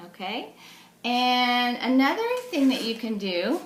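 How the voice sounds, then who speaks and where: A woman's voice: on the high side, big pitch swings, slow, reverberant, low-quality recording. A woman reading a storybook aloud to young children as their teacher, explaining things simply and checking in with questions.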